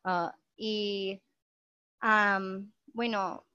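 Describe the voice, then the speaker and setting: A young woman whose first language is English, talking slowly and thoughtfully in learned Spanish as a podcast guest, with many "am" fillers and restarts. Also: medium pitch, flat delivery, sharp tone, clean tone, no echo. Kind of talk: casual conversation in learned Spanish. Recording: dull, dry lo-fi recording.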